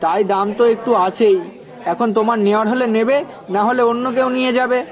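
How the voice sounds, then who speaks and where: Guy as cartoon veg seller, curt, no haggling, highish voice, some chatter behind. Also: fairly even intonation, quick pace. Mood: curt, unbending. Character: matter-of-fact, unbending market vegetable seller. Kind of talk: cartoon voice acting.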